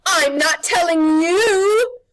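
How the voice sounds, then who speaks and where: A woman's voice: extremely high pitch, slow pace, pitched above the performer's own voice. A woman voicing a slimy female witch creature from a storybook, bullying and defiant, commanding with a mock sweetness. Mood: panicked, furious.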